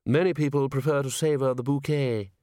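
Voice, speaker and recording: A man's voice: fairly high pitch, sing-song, measured pace, no echo, pitched above the performer's own voice. A man doing a pompous, wheedling upper-crust schemer. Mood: horrified politeness. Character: scheming upper-crust gentlemen, smooth, condescending, impatient.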